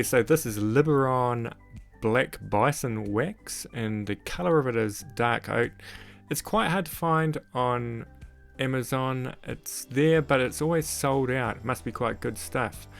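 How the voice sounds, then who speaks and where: A man with a croaky, gravelly voice narrates a woodcarving tutorial as a voice-over. He talks in a relaxed, rambling way, full of "sort of, like", at a middling pitch. Faint music plays underneath. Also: measured pace. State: croaky voice after illness. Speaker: woodcarving channel host.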